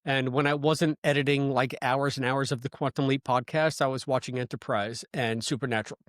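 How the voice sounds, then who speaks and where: A man's voice: medium pitch, steady tone, fairly quick, dry recording, studio quality. A man co-hosting a podcast in casual chat, rambling and playful, laughing often. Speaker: podcast co-host.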